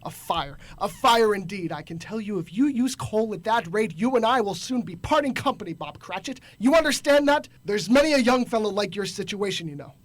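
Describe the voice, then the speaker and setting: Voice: medium pitch, animated, fairly quick, few pauses, no echo, close to an everyday voice. An actor playing a grumpy, miserly old businessman in a radio drama, scornful, curt and barking. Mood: irritated.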